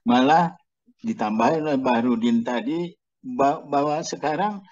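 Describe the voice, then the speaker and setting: A man's voice: mid-range voice, moderate pace, darkish tone. An older man leading a casual panel discussion, making his point through examples. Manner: steering talk with examples. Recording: dull lo-fi sound.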